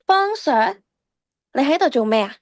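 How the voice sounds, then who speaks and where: A woman's voice: high voice, expressive, measured pace. A woman acting a spirited, caring teenage schoolgirl in a youth radio drama. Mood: surprised.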